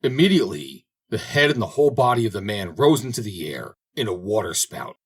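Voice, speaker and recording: Male audiobook narrator for fairy tales: husky, highly animated, theatrical storyteller. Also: a little fast. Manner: dramatic, expressive storytelling. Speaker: audiobook reader. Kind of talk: scripted fairy tale narration.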